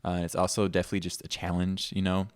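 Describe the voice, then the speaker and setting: A young man from Minnesota with a very low voice talks in an easy, thoughtful way, pausing on fillers as he answers questions. The sound is dry and close, with no room echo. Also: smooth. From Minnesota, USA. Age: 20s. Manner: relaxed, thoughtful, trailing fillers. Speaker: pianist guest. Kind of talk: casual conversational interview.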